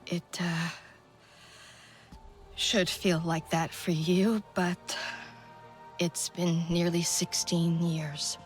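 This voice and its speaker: An actress playing a mature, guilt-laden resistance leader in a video game, solemn, halting and reassuring. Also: female voice, fairly low pitch, level tone, soft background music. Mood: somber. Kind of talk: video game cutscene acting.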